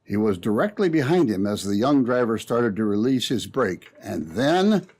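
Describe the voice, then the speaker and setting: A man's voice: on the low side, very animated, normal speed, darkish tone. A man announcing and narrating in a dramatic, theatrical old-time radio style.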